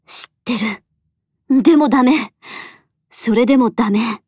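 High-voiced resolute young woman. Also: measured pace, darkish tone, slightly rough, no room sound, clean studio sound. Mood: sad, resolute. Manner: earnest, firm. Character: resolute, self-sacrificing young woman, protective friend. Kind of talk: Japanese voice drama acting.

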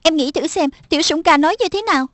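A woman voicing a bossy but caring schoolgirl big sister in a cartoon dub, firm and deliberate. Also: high voice, fairly bright tone, no echo. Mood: earnest.

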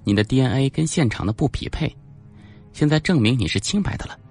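A teasing, jealous young policewoman acted by a male audiobook reader. The voice is pitched up and lively, with a bossy edge. She sounds sharp-tongued and quick to scold. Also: soft background music, close to an everyday voice. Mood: sly teasing. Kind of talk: audiobook character acting.

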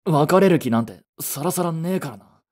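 Romance audio drama lead: young man, played by a male actor, slightly high, breathy, blunt, emotionally raw boyfriend. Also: expressive, normal speed, darkish tone. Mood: reassuring. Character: young boyfriend, blunt, devoted, grief-stricken. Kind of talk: romantic Japanese voice drama acting.

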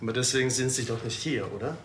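An actor playing a slightly weary town hall official, wry and businesslike, in a TV crime comedy. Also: male voice, mid-range voice, quick pace, fairly bright tone, a little hiss or hum, close to an everyday voice.

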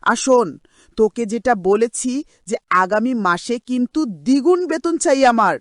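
A cruel, nagging aunt from a cartoon drama, acted by a woman. Her high voice swings widely as she scolds and sneers. The sound is dry and close. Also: expressive, normal speed, dry recording, lightly acted. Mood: threatening. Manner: harsh, sarcastic, nagging.

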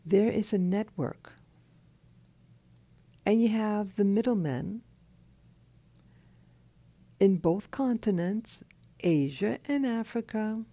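A woman who has just woken up, talking in a soft, hoarse, half-whispered voice from her bed, slow and halting, with a clip-on mic. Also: medium pitch, expressive, no echo. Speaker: channel host. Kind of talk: early morning monologue. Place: in bed at night.

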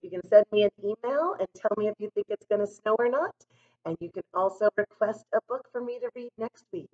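A woman hosting a read-aloud for young children, reading a picture book expressively and deliberately with chatty asides. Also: mid-range voice, highs cut off. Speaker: school read-aloud host.

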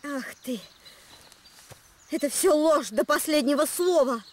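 An actress voicing a fierce, distrustful, stubborn wife in an adventure cartoon, with a sharp, accusing manner. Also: female voice, sits high, expressive, a little slow. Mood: furious. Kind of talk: animated adventure cartoon dub.

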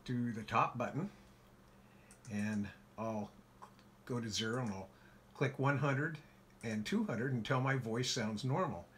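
A man calmly walking through his ham radio station setup in a casual how-to, with lots of filler words. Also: mid-range voice, slight background noise. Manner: relaxed, folksy, frequent "uh" fillers. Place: home radio shack by a window.

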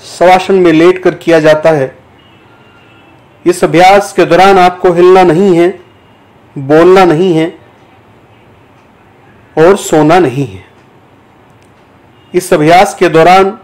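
Slow-speaking, higher-pitched man guiding meditation. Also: a little mellow, rough recording. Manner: measured, deliberate, pausing between short phrases. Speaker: yoga teacher guiding a meditation. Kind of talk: guided yoga nidra instruction, spoken slowly.

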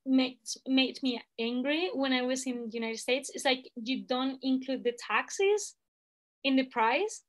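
Young woman, Spanish-accented English, high voice, slow and halting, laptop-mic video chat. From Spain. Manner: hesitant, many fillers, laughs easily. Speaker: guest language assistant. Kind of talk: casual video call chat. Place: indoor room at home. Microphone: laptop webcam video call.

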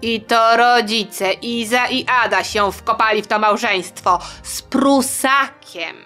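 A woman's voice: on the high side, takes it slowly, bright, forceful tone, faint music behind. A woman storyteller narrating history for young listeners in a chatty, colloquial, tongue-in-cheek way. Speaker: storyteller and host.

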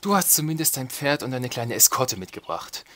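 An actor playing a flippant, bumbling medieval lord in a comedic audio drama, talking casually and sarcastically. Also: male voice, medium pitch, very animated, a little fast, a bit bright. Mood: brisk, planning.

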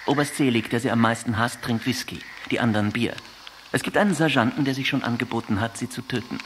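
An actor playing a grimly frank army guard in a radio drama, speaking in a blunt, matter-of-fact manner. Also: male voice, mid-range voice, fast, background noise, close to an everyday voice.

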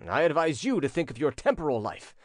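A man's voice: on the high side, sing-song, fairly quick, no room sound, clean studio sound. A man voicing a haughty, menacing prince in an audiobook, with an imperious, ironic and commanding manner. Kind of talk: audiobook character acting.

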